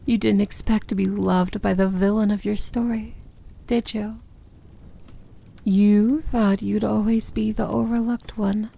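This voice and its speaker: Breathy, slow-spoken villain princess, played by a woman. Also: mid-range voice, warm tone, exaggerated voice. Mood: assured, loving. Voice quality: breathy, often dropping into a whisper. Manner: slow, deliberate, commanding yet tender. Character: feared demon-like villain princess, possessive, tender, in love. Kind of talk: ASMR romance fantasy roleplay, intimate address.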